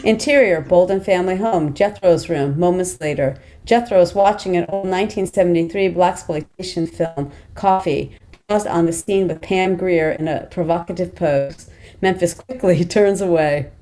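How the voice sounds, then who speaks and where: A woman narrates a screenplay, reading out scene headings and action. Her voice is fairly low and distinctly mellow. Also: normal speed. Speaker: stage directions reader. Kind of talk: scripted narration for a table read.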